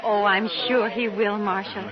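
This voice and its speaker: An actress playing an anxious, genteel new bride in an old-time radio western, speaking politely and hesitantly. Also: female voice, medium pitch, fairly even intonation, moderate pace, natural voice. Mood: flustered. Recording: muffled old radio recording, crowd chatter behind.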